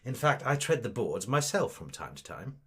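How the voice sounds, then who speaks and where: A man's voice: mid-range voice, big pitch swings, normal speed, a bit bright, husky. A man playing a vain, snobbish amateur actor in a comic audio drama, with affected, gushing, pompous delivery. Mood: fawning, self-important.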